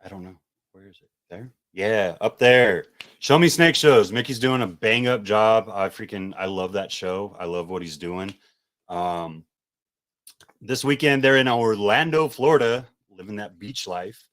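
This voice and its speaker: A low-pitched man hosts a relaxed podcast. He rambles comfortably, circling his points with fillers and restarts. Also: unhurried. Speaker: podcast host. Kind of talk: casual podcast chat.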